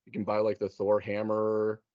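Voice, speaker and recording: A man chatting casually, telling things in an animated, chatty way and acting out little quotes as he goes. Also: lowish voice, normal speed, no echo, highs cut off. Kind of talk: casual chat.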